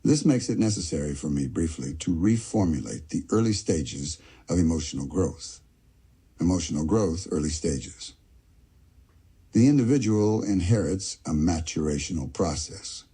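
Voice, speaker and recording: A man with a very deep, dark voice reads a scholarly essay aloud in a slow, measured way. His tone is heavily husky and breathy, and he pauses to mark each section heading. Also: expressive. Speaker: reader of an essay. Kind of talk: audiobook-style reading of a psychoanalytic essay.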